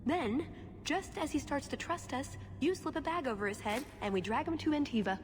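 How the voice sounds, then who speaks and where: A woman's voice: high-pitched, normal speed, long reverb tail, lightly acted. An actress playing a determined, composed female spy in a video game, speaking in an earnest, matter-of-fact way. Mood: sly. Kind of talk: video game cutscene acting.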